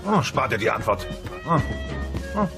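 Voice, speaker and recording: A man's voice: medium pitch, sing-song, normal speed, creaky, music in the background. A man playing a blustering, lazy middle-aged dinosaur father with a brash, slangy manner in a comedic audio play. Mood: grudging. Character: blustering, lazy TV-loving dinosaur father, mocking son-in-law.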